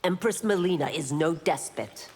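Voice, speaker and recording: An actress playing a stern, principled chief constable in a fighting game, speaking formally and with authority in a reverberant space. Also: female voice, low-pitched, normal speed, slightly rough. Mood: stern, warning. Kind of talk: scripted fighting game dialogue.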